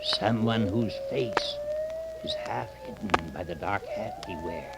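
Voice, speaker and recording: An actor playing an ancient cackling hermit who tells horror tales in a slow, theatrical 1930s radio manner. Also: male voice, huge pitch swings, vocal fry, exaggerated voice. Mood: ghoulish, suspenseful. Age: older. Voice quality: cackling, breaking into high shrieks. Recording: very noisy scratchy 1930s radio recording.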